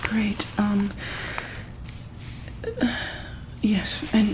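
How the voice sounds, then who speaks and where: A woman playing a calm, caring monster-hospital doctor in an ASMR roleplay, speaking softly and hesitantly in a breathy whisper. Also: medium pitch, slow pace, noisy background, close to an everyday voice. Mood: calm, attentive. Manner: hesitant, gentle, soft-spoken.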